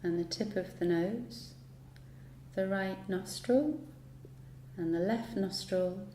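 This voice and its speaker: A woman leads a guided yoga relaxation in a mellow, dark-toned voice. She gives each instruction soothingly and without hurry, pausing at length between them. The recording sounds roomy, with faint noise behind her. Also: mid-range voice. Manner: soothing, unhurried instruction with long pauses. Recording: roomy echo, light background noise.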